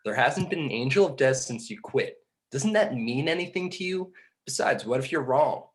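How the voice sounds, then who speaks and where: A man playing a pedantic exiled angel in a film script table read, explaining things in a dry, measured way. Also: mid-range voice, lively intonation, close to an everyday voice. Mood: exasperated. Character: Bartleby, exiled male angel, reasoning, pedantic, exasperated schemer.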